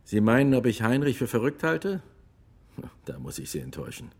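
An actor playing a retired, war-weary army officer who testifies gravely and emphatically in a courtroom radio drama. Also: male voice, mid-range voice, wildly swooping pitch, a little fast, exaggerated voice. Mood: firm, reflective.

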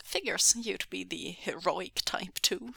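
A woman's voice: fairly low pitch, takes it slowly, creaky, sharp tone, slightly rough, dry recording, full character voice. A woman voicing a casually cruel male kidnapper in a story, mocking and nonchalant. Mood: smirking.

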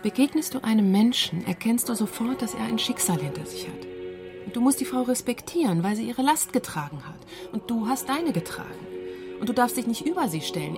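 A woman reading reflective testimony as a documentary voice-over. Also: mid-range voice. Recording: music behind the voice. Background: music.

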